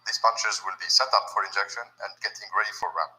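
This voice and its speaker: A man with a slightly low but very bright, forceful voice explains a technical procedure. His delivery is measured and instructional. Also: fairly even intonation, poor audio.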